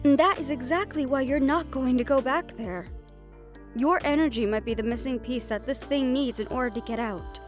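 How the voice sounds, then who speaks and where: Woman playing a high-voiced, protective occult mentor. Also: moderate pace, faint music behind, natural voice. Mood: firm. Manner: reassuring but firm, explanatory. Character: protective, knowledgeable female occult investigator and mentor. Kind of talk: audio roleplay acting.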